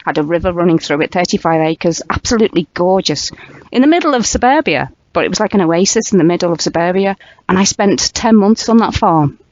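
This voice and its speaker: A woman with a light Merseyside accent hosting a radio chat, talkative and often laughing as she tells stories. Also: on the low side. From England, Wirral. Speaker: radio show host. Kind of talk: casual interview chat. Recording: dry, dull lo-fi audio.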